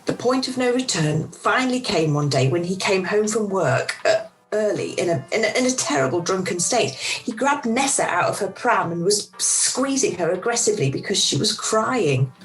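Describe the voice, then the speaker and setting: A woman's voice: mid-range voice, big pitch swings, moderate pace, lightly acted, rough recording. A middle-aged woman playing a weary, emotional mother who confides wryly in a radio play, recorded over a video call. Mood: shaken, confiding. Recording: amateur video-call recording with music behind.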